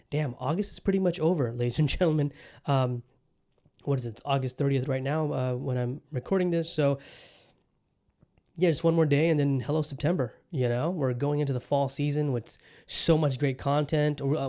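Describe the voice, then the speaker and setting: A man's voice: a little high, dark tone. A man hosting his own podcast in a casual solo chat, upbeat and rambling, often repeating his phrases. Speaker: podcast host.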